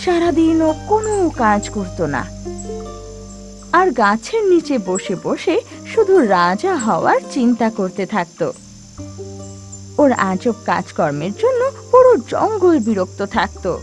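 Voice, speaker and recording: A woman narrating an animated fable for children as a scripted storyteller. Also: on the high side, unhurried, faint music behind.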